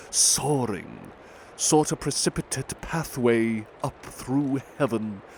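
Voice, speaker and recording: A man recites poetry very slowly, lingering over each line in a gentle, expressive voice. His pitch sits in a middle range, and a faint background noise hangs beneath the recording. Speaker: ASMR butler roleplay reader. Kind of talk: slow ASMR poetry reading.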